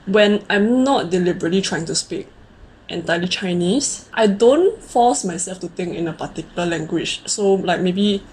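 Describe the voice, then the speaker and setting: A woman in her late twenties speaks in a fairly low voice with a Singaporean accent. She chats casually as a co-host, with clear noise in the background of the recording. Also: animated, moderate pace, darkish tone, poor audio. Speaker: co-host of a conversational video series. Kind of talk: casual chat.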